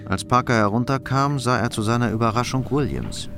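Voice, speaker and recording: A man's voice: mid-range voice, a little fast, gravelly. A man narrating a scripted crime story as the narrator of a radio play. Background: loud music.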